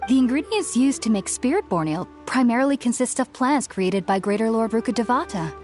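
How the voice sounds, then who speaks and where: A woman playing a studious, polite young researcher in a video game, speaking formally, earnestly and with precise, measured phrasing. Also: on the high side, close to an everyday voice. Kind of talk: scripted game character dialogue. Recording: music behind the voice.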